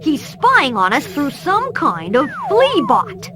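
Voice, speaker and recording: A woman's voice: high voice, wildly swooping pitch, slow, crowd noise, exaggerated voice. A woman voicing a brainy, earnest boy inventor in a cartoon video game, eagerly explaining technical plans. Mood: puzzled, excited.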